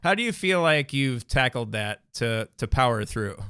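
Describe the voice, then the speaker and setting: An upbeat male podcast host with a bright, crisp voice. He affirms his guest and sums up answers in friendly interview style. The sound is dry and close, with faint music behind. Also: mid-range voice, expressive, moderate pace, dry recording.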